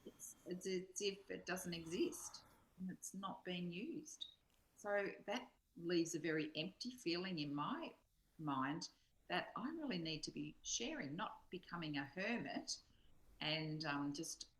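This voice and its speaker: A woman's voice: fairly low pitch, animated, unhurried. A woman in reflective personal discussion, explaining her thoughts in an earnest, thoughtful way. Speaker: study group co-host. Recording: roomy, echoing sound.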